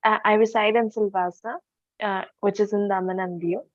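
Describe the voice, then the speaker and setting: A woman's voice: medium pitch, fairly even intonation, moderate pace, no room sound. Young woman student, dry lo-fi sound. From India. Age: young adult. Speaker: engineering student.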